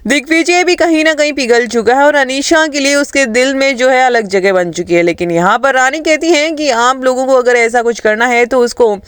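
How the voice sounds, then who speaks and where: Clear-voiced narrator recapping TV drama. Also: sits high, normal speed, hardly any pauses, fairly bright tone, no echo, studio quality. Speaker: TV serial reviewer and narrator. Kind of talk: dramatic plot recap narration with quoted dialogue.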